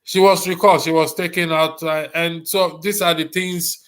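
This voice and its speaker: A man from Lagos with a Nigerian accent hosts a panel discussion in a halting manner, repeating phrases for emphasis. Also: fairly high pitch, level tone, a bit bright. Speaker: host of a diaspora discussion show.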